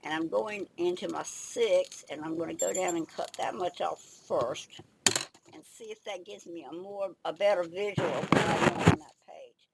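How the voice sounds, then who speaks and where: An older woman with a slight head cold and a raspy, gravelly voice, chatting casually and thinking aloud as she demonstrates a craft project. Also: lowish voice, moderate pace, noisy background. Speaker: craft video host.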